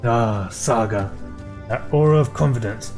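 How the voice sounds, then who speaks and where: A man's voice: medium pitch, a little slow, darkish tone, soft background music, close to an everyday voice. Man reading as a folksy seafaring farm boss. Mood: welcoming. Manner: folksy, old-fashioned phrasing. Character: gruff, worried farm boss and former sailor. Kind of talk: reading quest dialogue aloud.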